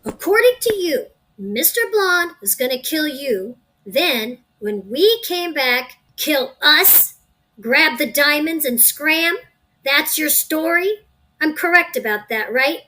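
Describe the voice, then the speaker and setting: A woman's voice: high-pitched, lively intonation, slow, bright, forceful tone, heavily acted, low-quality recording. A woman playing a hot-headed, loyal gangster in an audio drama, confrontational and sarcastic in the way they question people. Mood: suspicious. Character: hot-headed gangster boss's loyal child, foul-mouthed and suspicious.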